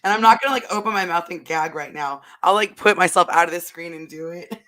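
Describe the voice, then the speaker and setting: A woman co-hosting a casual podcast chat, candid and animated, her voice a bit hoarse from having partly lost it. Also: on the low side, fast. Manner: animated and candid, uses "like" a lot. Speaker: podcast co-host.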